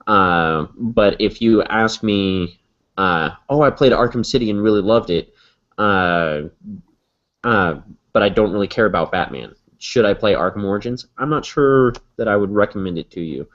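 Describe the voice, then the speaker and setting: A man co-hosting a casual podcast, measured and hesitant, with many fillers. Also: lowish voice, animated. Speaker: podcast co-host. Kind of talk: casual chat. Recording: lo-fi podcast audio.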